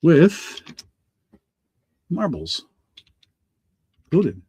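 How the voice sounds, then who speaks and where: A man hosting a live online auction in a rambling, halting way, full of restarts and fillers, hoarse from allergies. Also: fairly high pitch, huge pitch swings, warm tone, dry recording. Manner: halting, lots of ums and restarts.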